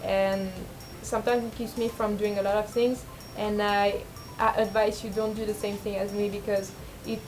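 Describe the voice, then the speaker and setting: A young woman with a low speaking voice, rambling and self-deprecating in a casual confessional vlog, full of fillers and restarts. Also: little pitch movement, moderate pace, hardly any pauses, over music, rough recording. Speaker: vlogger.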